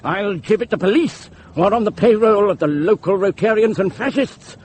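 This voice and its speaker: An actor playing a fiery, defiant pamphleteer, declaiming with scorn and suspicion in a theatrical drama style. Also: male voice, high voice, moderate pace, a little mellow, slight background noise, close to an everyday voice. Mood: furious, proclaiming. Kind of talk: theatrical dramatic monologue and dialogue.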